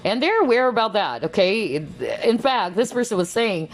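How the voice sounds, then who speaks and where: A woman giving a casual tarot card reading, chatty and animated, often exclaiming and checking in with tag questions. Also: on the high side, moderate pace. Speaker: tarot reader.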